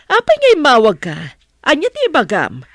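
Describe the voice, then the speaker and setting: A woman's voice: high-pitched, wildly swooping pitch, normal speed, no room sound, clean studio sound. A woman acting in an audio drama as an anxious, demanding mother and grandmother. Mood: alarmed.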